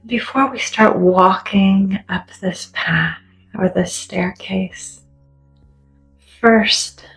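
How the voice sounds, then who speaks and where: Woman doing a guided meditation, really slow n gentle, soothing, soft music behind her. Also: mid-range voice, gravelly, poor audio. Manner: gentle, soothing, deliberate with long pauses. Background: soft background music.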